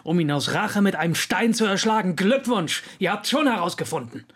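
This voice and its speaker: A man's voice: high voice, lively intonation, fairly quick, slightly rough. An actor playing a hot-headed journeyman musician in his twenties in a German radio drama, impassioned and defiant. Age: 20s. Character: hot-headed 26-year-old journeyman trumpeter, passionate and aggrieved.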